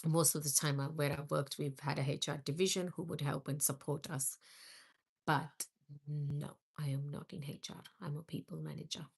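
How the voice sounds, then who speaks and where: A woman's voice: deep voice, fairly even intonation, normal speed, gravelly, slightly rough. A middle-aged woman from India hosting a live stream, chatting with viewers and answering their comments. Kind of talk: casual live-stream chat.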